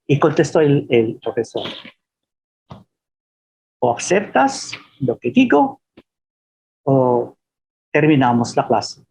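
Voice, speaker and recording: A man from the Philippines, giving an informal lecture as a professor and telling anecdotes in a halting, self-deprecating way. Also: mid-range voice, big pitch swings, vocal fry, darkish tone, breathy edge.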